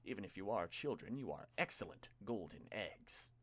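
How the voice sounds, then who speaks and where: A man voicing a courteous, composed mist villain in an audiobook, speaking formally and deliberately. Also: sits low, very animated, fairly bright tone, husky, no room sound, full character voice. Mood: mildly startled.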